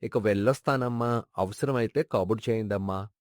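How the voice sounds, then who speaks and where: A man's voice: fairly low pitch, moderate pace, a little mellow, dry recording, clean studio sound, close to an everyday voice. A man playing a sincere, sentimental colleague and neighbour of a late father, earnestly reminiscing in a moral story. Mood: gentle. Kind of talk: dramatized moral story acting.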